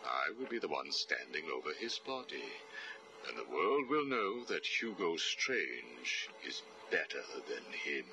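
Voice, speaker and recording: An actor playing a cold, arrogant older psychiatrist villain in a video game, speaking in a measured, clinical, condescending way. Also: male voice, very animated, gravelly, full character voice. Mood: cold, smug. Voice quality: very deep.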